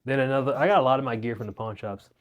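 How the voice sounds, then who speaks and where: A man's voice: medium pitch, very fast, phone-quality sound. A young man giving a casual, rambling rundown of his music gear at home, speaking into a wireless clip-on mic. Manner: laid-back, rambling, slangy. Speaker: guitar teacher and channel host. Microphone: wireless clip-on mic into phone.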